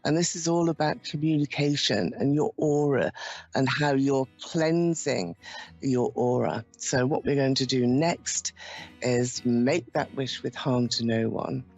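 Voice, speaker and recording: A woman psychic host leading a slow, gentle crystal-ball ritual for her viewers. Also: extremely low pitch. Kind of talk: soothing guided ritual talk. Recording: dull lo-fi sound with faint music.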